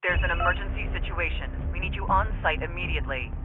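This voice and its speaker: A man's voice: sits high, fast talker. An actor playing an urgent male emergency dispatcher in a video game, heard through a telephone filter. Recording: noisy tinny phone line.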